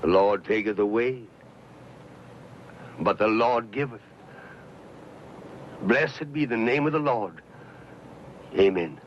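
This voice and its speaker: An actor playing a pious frontier preacher in a radio western, solemn and reverent. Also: male voice, fairly low pitch. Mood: solemn, devout. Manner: solemn, reverent, drawn-out delivery. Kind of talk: old-time radio western acting. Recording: dull, noisy old radio recording.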